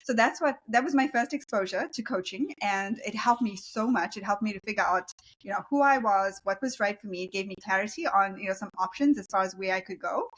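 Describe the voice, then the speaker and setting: A woman's voice: mid-range voice, a little fast, a bit bright, poor audio. A woman from South Africa with a South African accent, a leadership coach speaking earnestly and reflectively as an interview guest, with many fillers. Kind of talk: conversational interview.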